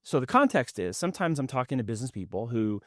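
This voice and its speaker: Male podcast host, playful and rambling, mid-pitched voice on a dry close mic. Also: big pitch swings, quick pace, no echo. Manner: joking, rambling with restarts. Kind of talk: conversational interview.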